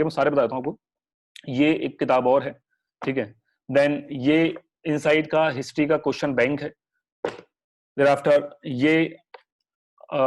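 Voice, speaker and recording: A man's voice: mid-range voice, a little mellow. A young man teaching a live online class with a sore throat, chatty and reassuring, mixing English words into Hindi. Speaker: online exam-prep teacher.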